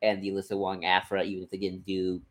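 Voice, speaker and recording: A podcast co-host in casual discussion, speaking in a hesitant, halting way as if thinking aloud. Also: sits low, completely flat delivery. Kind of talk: casual discussion of franchise books and comics.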